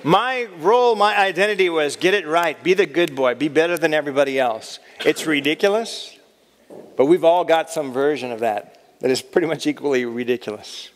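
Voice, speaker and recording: A man's voice: fairly high pitch, brisk, slight background noise. Joking man preaching in a reverberant hall. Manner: conversational, self-deprecating humor. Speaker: chapel speaker. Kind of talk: chapel talk.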